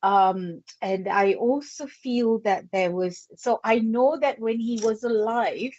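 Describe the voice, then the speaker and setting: A woman with a Yorkshire accent, working as a psychic medium, speaks slowly and hesitantly, pausing on fillers as she thinks aloud. The recording is dry and a little dull, with no room sound. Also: a little high, darkish tone, no room sound. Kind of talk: live psychic reading on air.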